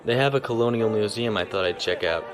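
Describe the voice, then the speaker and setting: A man's voice: on the low side, fast, music in the background, close to an everyday voice. An actor playing a stiff, formal young male naval officer who speaks properly and reservedly.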